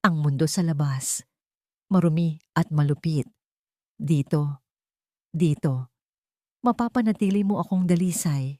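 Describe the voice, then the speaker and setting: A woman's voice: low voice, a little slow, long pauses, dark tone, no echo. A woman narrator plays a captive bride in a dramatic audio story. She sounds weak and submissive, pleading with her captor as if she has given up completely. The sound is close and dry. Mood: meek, apologetic. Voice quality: cracked, weak. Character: captive bride feigning broken, adoring surrender to her captor.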